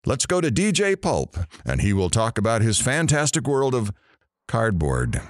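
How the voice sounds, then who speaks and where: An actor playing a flippant, nosy radio talk show host with a theatrical, teasing delivery. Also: male voice, medium pitch, huge pitch swings, normal speed. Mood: showy. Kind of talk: radio host patter in an audio drama.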